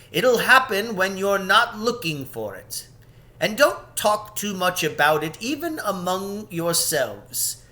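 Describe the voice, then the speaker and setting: A man performs an eccentric, kindly old storybook professor. He is high and swooping, emphatic and musing as he gives knowing advice. Background rumble runs under the voice. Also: bright, forceful tone, full character voice. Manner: emphatic, musing, interrupting himself with questions. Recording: background noise with low rumble.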